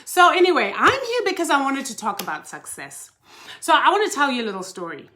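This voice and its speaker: A woman's voice: sits high, very animated, brisk, bright, forceful tone. A woman from Zimbabwe, a life coach hosting a casual live stream and chatting animatedly with her viewers, laughing easily. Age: older.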